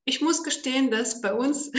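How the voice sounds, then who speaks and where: A woman's voice: high-pitched, measured pace, reverberant. A woman from Ukraine speaking as a guest on an online panel, hesitant with many fillers and restarts, heard over a video call.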